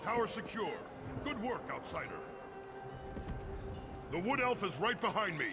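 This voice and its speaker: A man voicing a brisk, stern soldier in command in a video game, urgent and businesslike. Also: sits high, sing-song, over music, heavily acted. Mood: approving.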